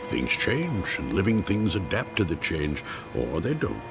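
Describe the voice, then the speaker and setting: Slow, deep, enigmatic watcher. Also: male voice, huge pitch swings, over music, exaggerated voice. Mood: philosophical. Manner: measured, enigmatic. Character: cryptic, calm male witness, philosophical about nature. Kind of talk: dramatic video game voice acting.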